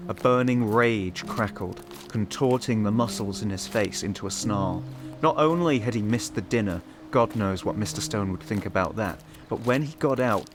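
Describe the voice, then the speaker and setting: A male narrator reads a scripted horror story in the third person. His voice sits fairly low. Music runs clearly behind the words. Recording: music under the voice.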